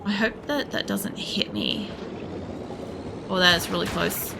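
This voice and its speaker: Female game streamer, gravelly fry, casual and sweary rambling commentary, noticeable background noise. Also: fairly low pitch, big pitch swings, unhurried. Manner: sweary, blunt, thinking aloud. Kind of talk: casual live gameplay commentary, rambling chat.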